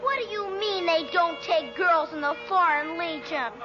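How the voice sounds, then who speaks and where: A woman's voice: very high-pitched, moderate pace, loud music behind the voice. A woman playing a cheeky, brash young girl, an indignant little sister in a radio comedy. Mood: feisty, defiant. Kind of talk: old radio comedy acting. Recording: dull old radio recording, audience noise.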